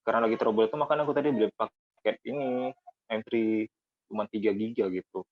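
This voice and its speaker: A man's voice: fairly low pitch, steady tone, quick pace, no echo. A man chatting in an easygoing way as a podcast guest over a video call. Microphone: video call audio. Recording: dull lo-fi call audio, dry.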